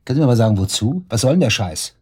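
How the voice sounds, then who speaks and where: A man's voice: mid-range voice, very animated, racing, darkish tone, slightly rough. An actor playing a brash, sarcastic murder suspect under police questioning in a radio drama, crude and defiant in his slangy talk. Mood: agitated, indignant. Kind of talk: naturalistic German radio drama acting.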